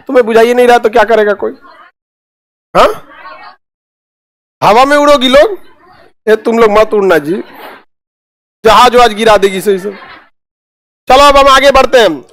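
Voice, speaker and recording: A man's voice: extremely high pitch, a bit bright. A man in his thirties with a Bihari accent, teaching in an informal, sarcastic, colloquial style full of rhetorical questions. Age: 30s. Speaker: coaching teacher. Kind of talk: informal classroom lecture with commentary. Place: indoor classroom studio at a presentation board.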